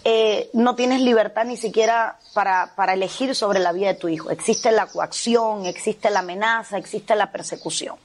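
A woman's voice: medium pitch, moderate pace, few pauses. A middle-aged woman pastor from Cuba, with a Cuban Spanish accent, telling her testimony with fervent earnestness in a TV interview.

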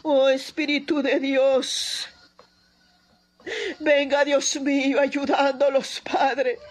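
Woman, very high voice, monotone pitch, impassioned prayer leader, loud pleading, repeated invocations. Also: drawn-out words.

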